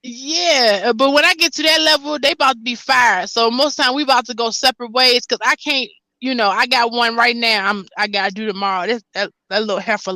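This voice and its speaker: A woman's voice: on the high side, brisk, few pauses, dry recording. A woman hosting a casual podcast chat as a hairstylist, telling stories. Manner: animated storytelling, laughs often.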